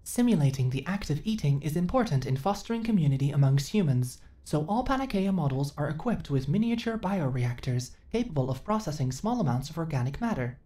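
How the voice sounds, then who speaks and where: A mellow, low female android voice, played by a woman, built for a sci-fi roleplay. The companion speaks in formal, literal phrases with earnest naivety, yet the pitch moves in wide swings as it learns to feel. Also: sing-song, brisk, few pauses. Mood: earnest, eager. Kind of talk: ASMR sci-fi audio roleplay.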